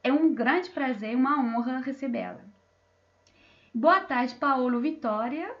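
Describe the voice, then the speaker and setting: A woman's voice: high voice, a little slow, dull audio. Hesitant woman moderating a video call. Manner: hesitant, many fillers and restarts. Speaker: debate moderator. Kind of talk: online panel introductions.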